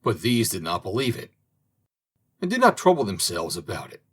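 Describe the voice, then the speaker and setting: A man reading an old fairy tale aloud as an audiobook narrator, in a slow, expressive manner. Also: on the low side, slightly rough. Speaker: audiobook reader. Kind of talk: storybook narration.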